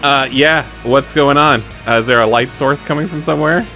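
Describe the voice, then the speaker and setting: A man's voice: mid-range voice, moderate pace, bright, forceful tone, soft background music. A man playing a tabletop roleplaying game, chatting casually with joking asides as he says what his character does.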